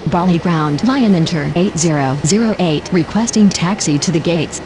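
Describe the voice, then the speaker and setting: Casting: woman as female controller and pilot for an air traffic game, lower register, procedural delivery. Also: expressive, normal speed, close to an everyday voice. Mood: businesslike. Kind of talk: scripted aviation radio phraseology.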